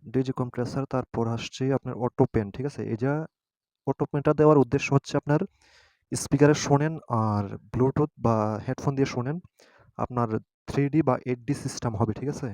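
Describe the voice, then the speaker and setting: A man's voice: mid-range voice, fairly even intonation, darkish tone, no room sound. A man hosting an informal step-by-step app tutorial, speaking hesitantly and often checking that listeners follow. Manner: hesitant, halting, often seeking agreement. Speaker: tutorial host.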